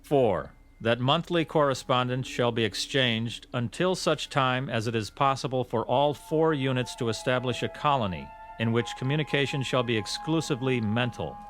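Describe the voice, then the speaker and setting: An actor playing a solemn, zealous professor in a radio drama, speaking formally, precisely and insistently. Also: male voice, mid-range voice, normal speed, few pauses, fairly bright tone, natural voice. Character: solemn, zealous male professor leading a pact. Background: faint music.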